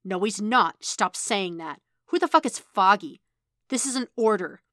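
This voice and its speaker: A woman voicing a harsh, commanding older male handler from a story, gruff and contemptuous, with gravelly, clipped orders. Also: medium pitch, fairly even intonation, moderate pace, bright, forceful tone, dry recording, clean studio sound. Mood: angry, demanding. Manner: barking, clipped orders and scornful swearing.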